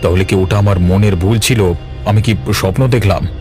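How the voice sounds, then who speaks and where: A man voicing a guilty, suspicious village master in an animated horror story, stammering and demanding, jumpy yet defiant. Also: low-pitched, normal speed, soft background music, lightly acted. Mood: startled, suspicious. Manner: stammering, demanding, repeats questions.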